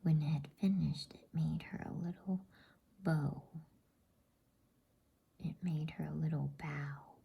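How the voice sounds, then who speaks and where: A woman softly whispering a bedtime story in ASMR style, breathy, with a halting delivery. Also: sits low, fairly even intonation.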